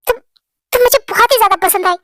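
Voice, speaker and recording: A man's voice: extremely high pitch, quick pace, a bit bright, no echo, clean studio sound. A narrator voicing an earnest, lovestruck male boss who makes heartfelt pleading declarations. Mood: tender. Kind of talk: storybook drama reading.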